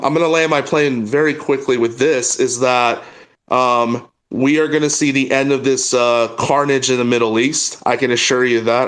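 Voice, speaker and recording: A man in a live audio space speaks fervently, often restarting his sentences. He fills his pauses with ums as he presses his point. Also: mid-range voice, fairly bright tone. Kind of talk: impassioned political statement.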